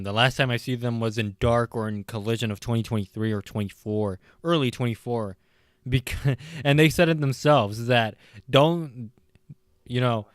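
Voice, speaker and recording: A man with a clear, mid-pitched voice that is a little worn from talking late at night. He reviews a show in a casual, rambling way, often pausing and correcting himself. The sound is close and dry. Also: dry recording. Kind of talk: casual recap and opinion commentary.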